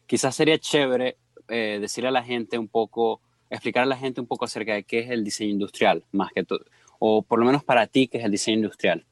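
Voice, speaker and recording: A man's voice: lowish voice, dry recording. Guy hosting a radio interview, dry booth, lots of um and uh. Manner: hesitant, frequent fillers. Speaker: radio host. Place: radio studio.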